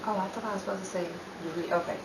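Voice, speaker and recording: A woman's voice: medium pitch, steady tone, fast talker. A woman reading lines as a cast member rehearsing a radio play. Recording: echoey, distant mic, faint noise.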